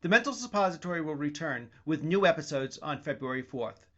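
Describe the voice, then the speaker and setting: A man's voice: a little high, big pitch swings, a little fast. A man hosting a podcast, reading scripted narration as an announcer. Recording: slightly muffled, music behind.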